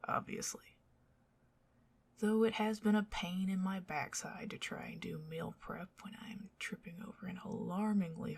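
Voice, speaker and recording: Woman acting a slow, whispery, bewitching vampire lady. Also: lowish voice, a little mellow, exaggerated voice. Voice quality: breathy, husky whisper. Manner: arch, theatrical, old-fashioned flowery phrasing. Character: bewitching older vampire lady, doting, theatrically fussy and possessive. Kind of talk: whispered ASMR roleplay.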